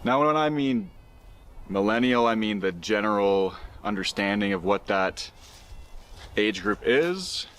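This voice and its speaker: Low-voiced man giving a video explainer. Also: animated, a bit bright, clean tone. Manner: deliberate, explanatory. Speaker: video essayist.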